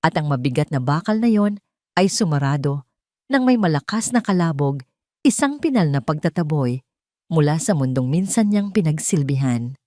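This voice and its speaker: A woman's voice: deep voice, lively intonation, moderate pace, mellow, no echo, clean studio sound. A woman reading a scripted dramatic story in an emotive storytelling manner. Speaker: story narrator.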